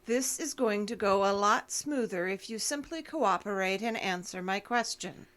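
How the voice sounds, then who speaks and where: A woman's voice: medium pitch, normal speed, fairly bright tone, close to an everyday voice. An actress playing a persistent, businesslike female investigator in an audio drama, formal, dry and controlled. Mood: firm, businesslike.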